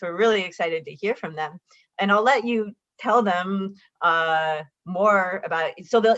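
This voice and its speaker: A woman's voice: lowish voice, a bit bright, lo-fi sound. Woman hosting a live video panel. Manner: chatty, with frequent restarts and fillers. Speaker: math professor and co-host. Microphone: video call.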